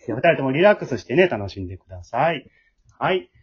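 A man's voice: mid-range voice, sing-song, fast talker. A man with a Kansai accent hosting as leader of an amateur drama troupe, in a casual but polite style. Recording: dull lo-fi remote home recording.

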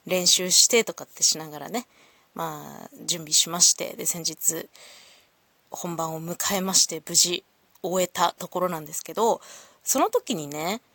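A woman's voice: on the low side, bright, forceful tone, no echo. A woman hosting a solo audio show, talking casually to listeners in a polite, reflective, explanatory way.

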